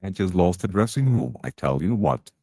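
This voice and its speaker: A man's voice: low voice, big pitch swings, moderate pace, mellow, husky, dry recording, exaggerated voice. A man doing a gossipy tea lady. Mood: gossiping. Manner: gossipy, chatty, blunt slang. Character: gossiping canteen tea lady, middle-aged woman.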